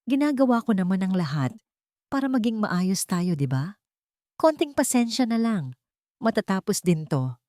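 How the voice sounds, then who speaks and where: A woman's voice: medium pitch, big pitch swings, moderate pace, pauses often, a little mellow, no echo, clean studio sound, lightly acted. A woman voicing a pleading, placating husband in a love story. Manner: coaxing, pleading.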